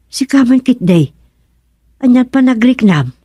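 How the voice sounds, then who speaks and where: A woman acting a fussing, exasperated village wife in an Ilocano radio comedy drama, with an expressive, exclamatory delivery. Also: a little high, unhurried, breathy edge, dry recording. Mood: concerned.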